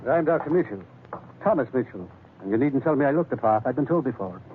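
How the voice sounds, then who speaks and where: A man's voice: mid-range voice, expressive, fast talker, phone-quality sound, lightly acted. A man playing a bitter, agitated doctor in a 1940s radio drama, speaking in a scornful, accusing, querulous manner. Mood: wry. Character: bitter, agitated Irish doctor stranded in tropics. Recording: thin old 1940s radio recording, faint noise.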